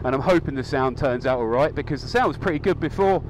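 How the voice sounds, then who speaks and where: A man's voice: mid-range voice, quick pace. A young man chatting casually as he rides his motorcycle, with a microphone inside his helmet and a rambling, upbeat manner full of ums. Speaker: motovlogger. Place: outdoors on a moving motorcycle. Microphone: helmet mic. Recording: faint road and engine noise.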